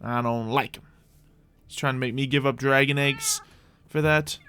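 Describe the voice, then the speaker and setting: A man's voice: mid-range voice, fairly even intonation, moderate pace, fairly bright tone, slight background noise. A man hosting a livestreamed game roleplay, giving whimsical, rambling in-character commentary and talking to his audience in a theatrical way. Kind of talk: in-character gameplay commentary, chatting with viewers.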